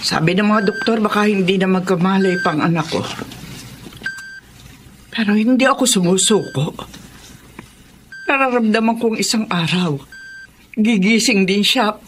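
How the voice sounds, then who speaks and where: An actress playing a middle-aged, contrite parent in a Filipino radio drama, speaking solemnly and earnestly. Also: female voice, medium pitch, unhurried, long pauses, slight background noise, close to an everyday voice. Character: contrite parent of a comatose daughter.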